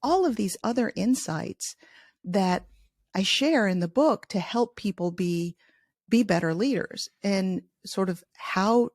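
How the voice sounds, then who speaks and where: Middle-aged woman from Chicago, native Spanish speaker, no accent; gravelly, talkative, dry mic. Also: mid-range voice, animated, slow pace, a little mellow, dry recording. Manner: chatty, many restarts, laughs easily. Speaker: podcast host and author, interviewed as guest. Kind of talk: casual podcast interview.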